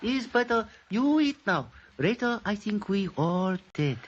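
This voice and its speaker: An actor playing a gentle, resigned ship's cook in old-time radio drama, with a put-on foreign accent and clipped broken English. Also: male voice, high voice, sing-song, unhurried, full character voice. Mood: resigned, solicitous. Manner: clipped, simple phrases, solicitous. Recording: dull old radio recording.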